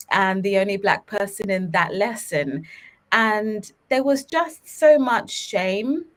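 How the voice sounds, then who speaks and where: A woman, talking as an interview guest in a relaxed, reflective way with many fillers, over a video call. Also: mid-range voice. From Uganda. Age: 30s. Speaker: guest, startup co-founder. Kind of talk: casual interview talk.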